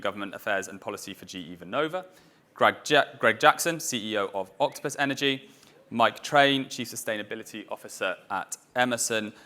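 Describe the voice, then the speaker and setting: Male event announcer, bright and forceful, lowish voice, halting delivery. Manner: halting, lots of "uh" and restarts. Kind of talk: introducing speakers at a conference. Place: conference stage.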